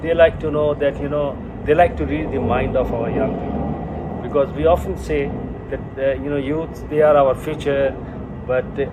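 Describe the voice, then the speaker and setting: A man's voice: on the high side, steady tone, normal speed, background noise. A man conducting an informal interview, speaking hesitantly with many fillers and restarts. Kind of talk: casual interview.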